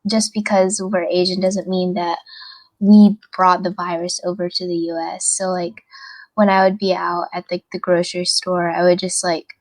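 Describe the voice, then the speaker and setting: Even-toned hesitant teenage girl. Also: on the low side, normal speed, low-quality recording. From United States. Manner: hesitant, searching for words. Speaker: podcast guest. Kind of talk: casual personal chat.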